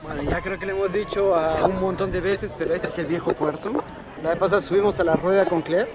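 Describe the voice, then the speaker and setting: A man's voice: high voice, steady tone, fairly quick, over music, crowd noise. A young man, chatting and teasing as he films a casual vlog tour on busy city streets. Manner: chatty, teasing, laughs often. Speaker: vlogger.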